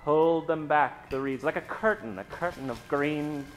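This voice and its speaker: Theatrical male narrator over music. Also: a little high. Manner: suspenseful, theatrical, with dramatic pauses. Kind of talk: dramatic improvised radio-play narration.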